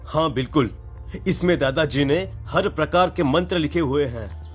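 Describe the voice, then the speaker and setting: Nervous cartoon boy, adult male performer: raised pitch, halting and repetitive, light music behind. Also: natural voice. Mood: eager. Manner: hesitant, stammering repetition. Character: timid, nervous younger brother, a boy. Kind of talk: Hindi cartoon voice acting.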